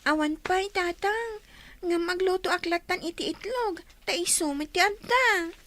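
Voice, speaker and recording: A woman's voice: very high-pitched, unhurried, a bit bright, dry recording. A woman acting a small, dutiful child with a Filipino accent in a radio drama. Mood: obedient.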